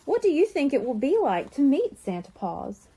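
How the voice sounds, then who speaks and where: A sweet young kitten girl from a storybook who speaks earnestly, her voice high and bouncing. A woman reads her aloud. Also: huge pitch swings, moderate pace, dark tone, exaggerated voice. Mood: hopeful.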